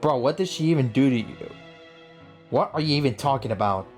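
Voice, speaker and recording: A woman's voice: a bass voice, lively intonation, brisk, a little mellow. A woman hosting a gaming video, giving casual, slangy, exclamatory reaction commentary. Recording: game music behind voice. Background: faint game music.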